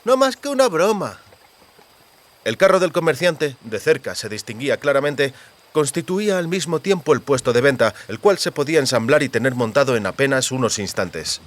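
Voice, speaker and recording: A man's voice: medium pitch, expressive, fairly quick. A man reading literary fiction as a scripted audiobook narration.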